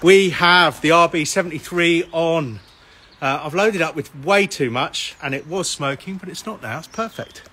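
Bloke in his 50s, sniffly with a cold, rambling live-cook host in a garden, slightly high voice, outdoor noise behind. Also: normal speed, bright, forceful tone. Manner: chatty, jokey, rambling with ums. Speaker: barbecue cooking host. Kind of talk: casual live cooking stream. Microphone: phone camera held by another person. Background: outdoor ambience.

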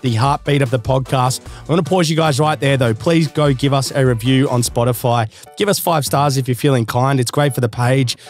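A man in his thirties with an Australian accent, hosting a casual podcast interview and chatting matily with frequent laughs, recorded on a boom mic in a small studio. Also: steady tone, fairly quick, hardly any pauses, faint music behind. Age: 30s. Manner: chatty, laughs often, matey slang. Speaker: podcast host. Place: small studio room with couch. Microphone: boom-mounted podcast mic.

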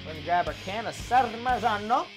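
A man's voice: high voice, expressive, brisk. A young man hosting a casual home cooking video in a jokey, slangy way. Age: young adult. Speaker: cooking show host. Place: home kitchen. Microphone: clip-on lapel mic. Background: guitar music.

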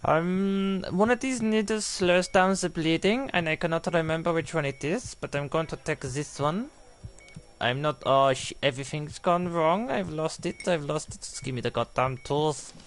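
A man's voice: fairly high pitch, moderate pace, lightly acted. A man voicing a bungling, apologetic foreign surgeon with a put-on accent and stilted, flustered delivery in a gaming commentary. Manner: flustered, exclaiming, stilted uncontracted phrasing, swearing. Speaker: video game player.

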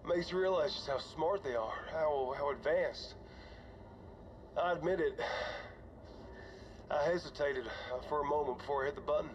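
An actor playing a weary, older starship commander, speaking in a halting, conversational way for a science fiction game audio log. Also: male voice, on the high side, lightly acted. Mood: relieved, awed. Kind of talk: dramatic audio log acting. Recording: dull, noisy cockpit log recording.

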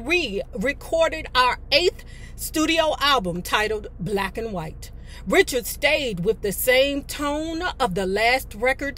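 A woman's voice: on the high side, a bit bright. An American woman in her fifties hosting a video, reading aloud and commenting in a blunt, sassy, casual way. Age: 50s. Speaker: video host. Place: inside a parked car.

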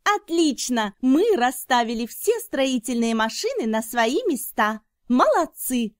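Female narrator, toddler learning cartoons: high voice, unhurried, enthusiastic, clearly enunciated scripted read. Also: big pitch swings, no echo. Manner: enthusiastic, instructive, carefully enunciated. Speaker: narrator of children's educational cartoons.

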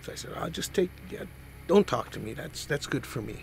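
A man in his sixties, blunt and plain-spoken, telling about his father in an outdoor documentary interview on a boom mic. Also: animated, fairly quick, creaky, a little hiss or hum. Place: outdoors in a rural yard. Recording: loud music underneath.

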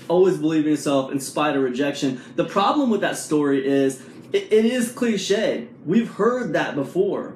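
A man in his forties talks straight to his phone camera in a small room at home, spinning a motivational story with lots of "right?" and "you know." His voice sits a bit high for a man and swings up and down a lot. The room gives it a noticeable echo. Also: a little hiss or hum. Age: 40s. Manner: animated storytelling with many fillers and rhetorical "right?". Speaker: vlog host. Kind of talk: casual motivational talk to camera. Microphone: camera or phone at arm's length. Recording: echoey room sound.

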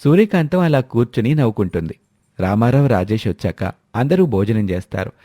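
A man's voice: medium pitch, expressive, a little fast, warm tone, no room sound, studio quality. A man narrating a scripted story as its storyteller.

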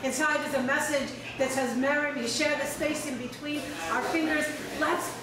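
High-voiced woman reading poems in an echoey cafe. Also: steady tone. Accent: American. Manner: slow, measured reading with chatty asides. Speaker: poet at an open mic. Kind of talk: poetry reading. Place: small cafe stage at night. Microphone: stand mic, camera from across the room. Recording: echoey room, distant camera mic, crowd. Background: audience in the cafe.

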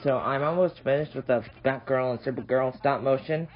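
A man hosting a casual hobby app tutorial, speaking haltingly and repeating his phrases. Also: mid-range voice. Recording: dull lo-fi audio with noise.

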